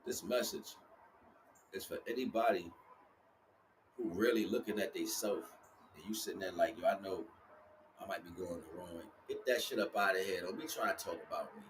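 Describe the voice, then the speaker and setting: A man's voice: low-pitched, moderate pace, pauses often. An American man giving an informal spiritual monologue as a livestream host, speaking emphatically and repetitively in street slang. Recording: clearly noisy, ambient background noise.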